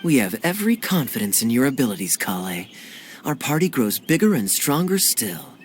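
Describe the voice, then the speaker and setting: Theatrical, gallant gentleman adventurer. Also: mid-range voice, sing-song, moderate pace, slight background noise. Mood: jovial, encouraging. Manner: florid, grandly courteous. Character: genial, theatrical, old-fashioned gallant adventurer. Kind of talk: animated game cutscene acting.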